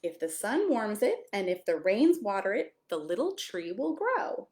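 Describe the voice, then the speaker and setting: Female pastor for children's storytime: lively, widely swinging intonation, expressive reading, speaks directly to young listeners. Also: mid-range voice, moderate pace. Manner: expressive, addresses young children with questions and exclamations. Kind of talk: children's picture-book read-aloud with friendly asides.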